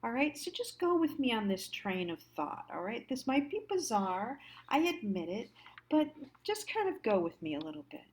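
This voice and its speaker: A woman hosting a storytelling series, thinking aloud in a casual, halting, conversational way with trailing thoughts. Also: fairly high pitch, big pitch swings. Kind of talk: casual reflective monologue.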